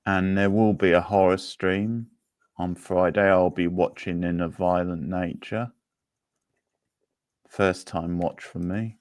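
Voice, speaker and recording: A man hosting a livestream quiz, chatting casually in an unhurried, rambling way as he replies to viewers. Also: sits low, steady tone, dry recording, clean studio sound.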